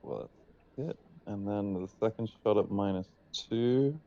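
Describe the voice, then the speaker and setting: A man playing a tabletop game, talking casually and tentatively in a low-key way. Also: unhurried. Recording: dull, muffled audio.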